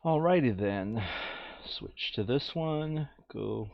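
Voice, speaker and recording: A man's voice: medium pitch, expressive, slow pace, vocal fry, no echo, highs cut off. A man chatting casually as a player planning a board game session, thinking aloud and repeating his phrases.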